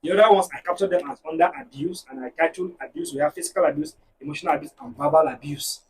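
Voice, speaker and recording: A man with a Nigerian accent, a married guest on a discussion show, talking in an animated, preachy way and telling stories to make his points. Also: a little high, fast talker, distant mic, a little hiss or hum. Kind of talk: casual panel discussion.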